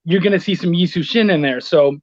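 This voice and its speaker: Guy guest on a video chat, pretty high voice, slangy, dry muffled audio. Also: a little fast, a little mellow, no echo. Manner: informal, slangy. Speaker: guest, comics writer and editor.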